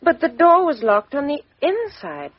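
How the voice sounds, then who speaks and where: A woman's voice: high-pitched, very animated, measured pace. An actress playing a frank, self-possessed young British woman in a radio drama, answering candidly and with emphasis. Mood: troubled, insistent. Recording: dull, lo-fi radio drama recording.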